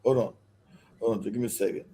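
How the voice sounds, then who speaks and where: A man joining a broadcast as guest commentator, speaking heatedly and halting, repeating words. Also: on the low side, mellow. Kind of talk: casual commentary.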